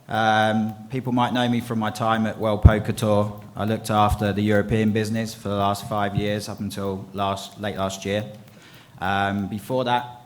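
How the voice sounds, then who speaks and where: A man presenting product features at a conference, brisk with frequent ums. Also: on the low side. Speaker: company representative presenting at a conference. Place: conference hall.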